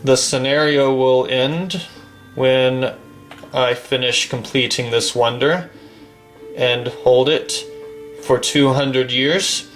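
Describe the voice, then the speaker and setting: A man reciting a long poem from memory in a slow, declamatory manner, swelling on the refrains, then chatting casually about a game. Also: medium pitch, steady tone, a bit bright, soft background music, low-quality recording. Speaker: poetry reader and gamer.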